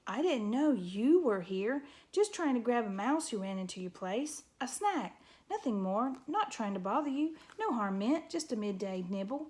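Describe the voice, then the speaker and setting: A sly male fox from a storybook, sniveling and fawning as he makes excuses, voiced by a woman. His voice sits a little high and wheedling. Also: very animated, normal speed, darkish tone. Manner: sniveling, apologetic.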